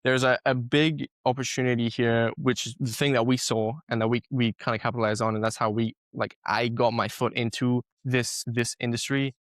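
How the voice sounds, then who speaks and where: Young South African man, smooth and even. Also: medium pitch, moderate pace, few pauses, no room sound. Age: 20s. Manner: chatty, loose, many restarts and fillers. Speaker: guest entrepreneur. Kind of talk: casual podcast interview.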